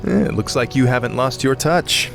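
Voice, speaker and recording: An actor playing a confident young prince and military commander in a fantasy video game, self-assured and commanding. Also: male voice, medium pitch, moderate pace, gravelly, over music, natural voice. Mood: friendly.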